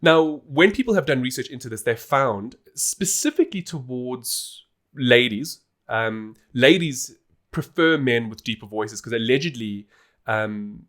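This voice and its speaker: Guy hosting a podcast, mid pitched voice, chatty and emphatic, casually explaining stuff. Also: expressive, a little slow, a bit bright. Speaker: podcast host.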